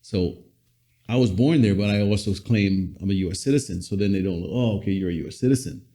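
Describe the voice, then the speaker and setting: A middle-aged man from Western Samoa, a business owner telling his story in a relaxed, matter-of-fact way on a podcast. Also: on the low side, a little fast. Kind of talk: casual interview conversation. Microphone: podcast studio mic.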